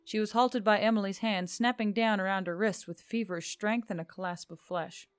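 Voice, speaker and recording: A woman reading scripted fiction as a story narrator, in an expressive storytelling style. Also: mid-range voice, normal speed, smooth, dry recording. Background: background music.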